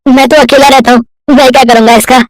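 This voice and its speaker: A man playing a kind, earnest young poor villager in an animated moral story, eager in manner. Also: very high-pitched, fast talker, no room sound.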